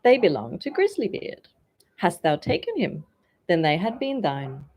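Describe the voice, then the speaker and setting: A woman's voice: fairly low pitch, huge pitch swings, unhurried, a little mellow, heavily acted. A woman voicing a scornful male beggar musician who answers his bride curtly. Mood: taunting. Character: scornful beggar musician man, secretly a king.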